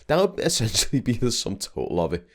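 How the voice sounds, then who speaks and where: A man's voice: mid-range voice, huge pitch swings, fast talker. A young man, talking casually and rambling a bit as he vlogs over drone footage. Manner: chatty, rambling with restarts. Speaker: vlogger.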